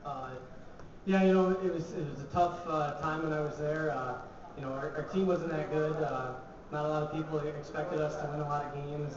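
A young man, a college hockey player, answering reporters casually with many fillers at a press conference table. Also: fairly high pitch. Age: young adult. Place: large echoey press room. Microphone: table mic, from a distance. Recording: echoey, distant, dull, noisy.

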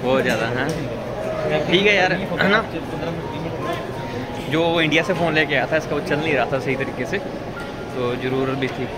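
A man's voice: fairly high pitch, normal speed, long pauses, over loud music. A young man chats casually to viewers as a vlogger, holding a phone at arm's length. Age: young adult. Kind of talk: casual vlog chat. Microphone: handheld phone at arm's length. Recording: noisy phone audio with wind and ambience.

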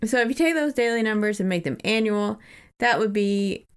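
A woman's voice: mid-range voice, expressive, normal speed, clear, resonant tone. A woman co-hosting a podcast, chatting casually about a book. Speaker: podcast co-host.

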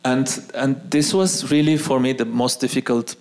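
A man in his forties, a native Arabic speaker, speaking on an auditorium stage as a guest artist, pausing and restarting often. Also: medium pitch, steady tone, darkish tone. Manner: hesitant, frequent restarts. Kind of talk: onstage conversation. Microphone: handheld mic.

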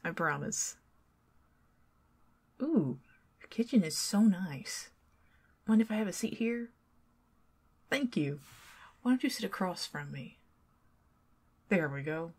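A woman with a Southern American accent doing an ASMR roleplay as a chatty, teasing neighbor who talks to the listener and drifts into soft whispers. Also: on the low side, expressive, takes it slowly, long pauses, breathy edge. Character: friendly talkative young woman neighbor.

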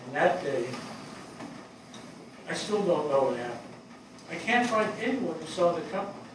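An older man playing a grieving, plain-spoken fire captain in a staged play reading, halting and weary. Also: on the high side, big pitch swings. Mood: somber. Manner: halting, hesitant delivery. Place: small theatre stage before a seated audience. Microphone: distant camera microphone. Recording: very echoey hall, far microphone, noisy.

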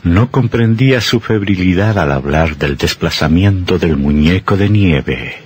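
An actor as a grave, ominous male storyteller, narrating a radio horror tale in a measured, suspenseful, dramatic way. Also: deep voice, lively intonation, darkish tone.

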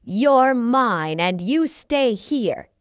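A woman voicing a greedy, brutish male puppet showman in a storybook read-aloud, speaking in slow snarls. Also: on the high side, lively intonation, no room sound, dull audio.

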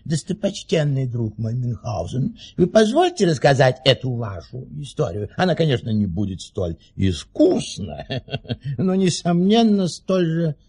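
Very raspy, creaky aristocratic guest. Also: male voice, mid-range voice, big pitch swings, unhurried, a little mellow, full character voice. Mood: courteous, wry. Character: courteous aristocratic guest, an admiring friend of a baron. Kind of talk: theatrical radio play acting.

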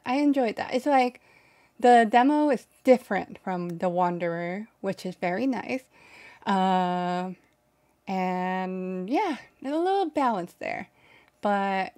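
A woman in her twenties hosting a casual reaction video, with halting, hesitant phrasing, close on a desk microphone. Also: medium pitch, darkish tone. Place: small bedroom streaming setup.

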